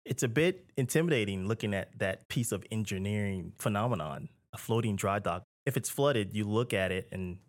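Male interviewer, low voice, encouraging and upbeat, reading prepared questions. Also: lively intonation, normal speed, few pauses.

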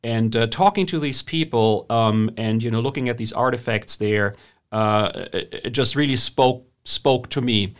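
A man's voice: mid-range voice, normal speed, smooth. A man with a German accent, a guest historian in an interview, speaking conversationally with many hesitations and restarts.

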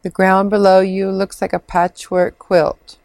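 Very slow, soothing woman guiding meditation. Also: mid-range voice, flat delivery, a little mellow, no room sound. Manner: soothing, measured, with long pauses. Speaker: meditation guide, clinical social worker. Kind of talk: guided imagery meditation.

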